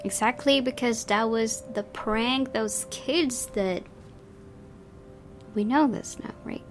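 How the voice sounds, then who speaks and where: A woman's voice: a little high, slow. Woman narrating a game over music. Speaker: gaming video host. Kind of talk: reading visual novel narration aloud and commenting.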